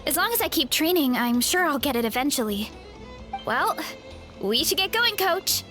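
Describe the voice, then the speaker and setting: A cheerful young woman with a big appetite in a video game, friendly and quick to laugh. Her voice sits high and moves in lively swings. Faint game music hums behind her. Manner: bright and friendly, laughs easily. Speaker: game character showing guests an orchard. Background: soft game music.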